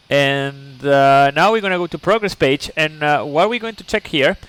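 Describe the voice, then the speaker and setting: A man's voice: fairly high pitch, measured pace, a bit bright, smooth, rough recording. A man from Chile, speaking English, streams a flight sim live. He explains each step patiently, checks in with "okay?", and chats with viewers. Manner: chatty asides to viewers.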